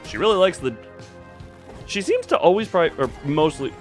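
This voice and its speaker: A man's voice: a little high, big pitch swings, normal speed, soft background music. A man live-streaming a video game with casual commentary and chat with viewers, rambling in half-finished thoughts with playful sound words.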